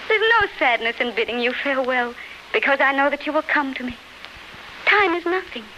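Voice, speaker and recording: Through clear hiss and crackle of a 1930s radio play comes the spirit of a beloved woman. Her high voice is tender and rapturous, speaking in lyrical, fervent, theatrical phrases. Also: fairly quick, sharp tone. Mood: gentle consoling. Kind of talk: 1930s radio drama acting. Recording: noisy, scratchy 1939 radio recording.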